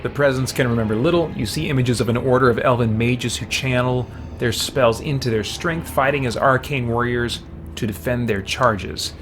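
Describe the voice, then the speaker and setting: A man live-streaming a game, reading its text aloud and chatting casually as he thinks out loud. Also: mid-range voice, normal speed, over music. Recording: steady background noise.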